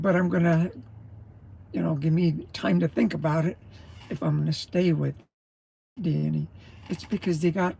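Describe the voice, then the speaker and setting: A man's voice: fairly high pitch, dark tone, a little hiss or hum, low-quality recording. A man, a truck driver, rambling through a casual morning livestream and greeting viewers as he chews his breakfast. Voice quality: gravelly. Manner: chewing while talking.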